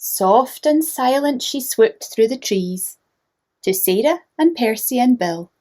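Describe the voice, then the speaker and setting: A woman narrating a children's storybook in a gentle manner, inviting young listeners to join in. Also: on the high side, expressive, takes it slowly.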